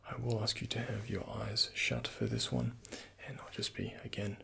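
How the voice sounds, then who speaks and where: A man speaking softly and breathily in an ASMR roleplay as a doctor, giving gentle, courteous, unhurried instructions to a patient, close to the microphone. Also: mid-range voice, level tone. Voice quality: breathy, often near-whispered. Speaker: ASMR performer playing a doctor. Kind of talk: soft-spoken ASMR medical roleplay, addressing the listener as a patient.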